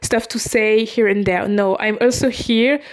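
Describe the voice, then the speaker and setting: A woman in her twenties, a non-native English speaker, hosting a podcast and talking through her own story in a halting, confessional way, often stopping to correct herself. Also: mid-range voice, fairly even intonation. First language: not English. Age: 20s. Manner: halting, with frequent restarts and self-corrections. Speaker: podcast host. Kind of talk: personal confessional monologue.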